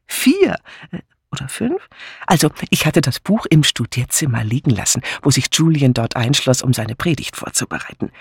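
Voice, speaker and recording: Man doing a high, lively vicar's wife, gushing, rambling, husky edge, dry sound. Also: no echo, full character voice. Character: chatty scatterbrained vicar's wife, middle-aged. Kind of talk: audiobook character voice.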